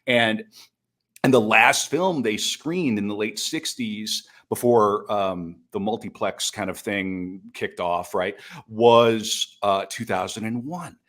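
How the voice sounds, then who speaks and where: A man's voice: lowish voice, takes it slowly, fairly bright tone. A middle-aged American man from North Dakota telling stories casually and enthusiastically on a podcast, rambling as he goes. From North Dakota, United States. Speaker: podcast speaker. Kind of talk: casual conversational storytelling.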